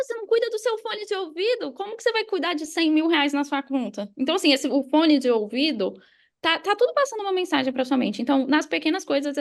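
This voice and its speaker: A young adult Brazilian woman talking casually and motivationally as a podcast guest, emphatic and conversational. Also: high-pitched. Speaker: podcast guest, digital marketing mentor. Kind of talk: casual motivational interview talk.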